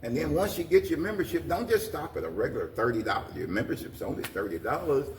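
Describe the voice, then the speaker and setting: A man's voice: mid-range voice, animated, fast. An older American man answering interview questions in a folksy, repetitive way, urging people on in plain words. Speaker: guest, community organization president. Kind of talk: interview talk, plain-spoken encouragement. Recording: roomy, distant mic, faint noise.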